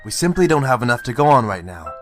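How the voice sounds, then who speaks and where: An actor playing a hot-tempered, frustrated police detective in an amateur radio drama. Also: male voice, mid-range voice, sing-song, quick pace, soft background music, lightly acted. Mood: weary, serious. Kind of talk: amateur audio drama acting.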